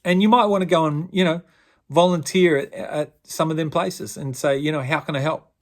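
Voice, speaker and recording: A man, a pastor explaining the steps of a youth honour in a relaxed, conversational way. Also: a little high, normal speed. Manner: relaxed, conversational, with fillers and restarts. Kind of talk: instructional talk explaining an honour's requirements.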